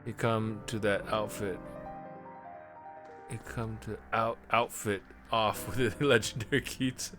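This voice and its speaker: A man streaming a video game live, chatting in a rambling way and clearing his throat often. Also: lowish voice, steady tone, slow pace, a bit bright. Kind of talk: live let's play commentary. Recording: game music clearly audible underneath. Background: game music.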